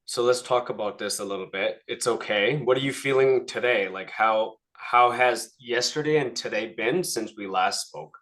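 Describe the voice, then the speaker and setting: A man's voice: medium pitch, normal speed, hardly any pauses, a bit bright. A man leading a group coaching session, speaking casually and often checking in with a questioning "right?".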